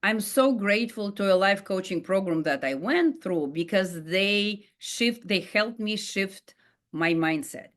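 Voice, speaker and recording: A woman's voice: mid-range voice, expressive, unhurried, fairly bright tone. A middle-aged woman with a Russian accent, a life coach telling her own story in an earnest, heartfelt way, with frequent fillers and restarts. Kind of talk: personal storytelling monologue.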